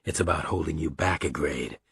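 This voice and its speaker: A man voicing a stern, laconic military father in an audiobook, curt, quiet and deliberate. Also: rumbling low, creaky, a little hiss or hum, voice pushed down, exaggerated voice. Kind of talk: audiobook character dialogue.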